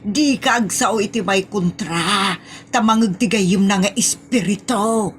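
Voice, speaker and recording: A woman's voice: mid-range voice, measured pace, natural voice. A woman playing a fussy, superstitious, scolding mother in a comic audio drama, with an Ilocano accent and fretful exclamations, over faint noise. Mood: insistent.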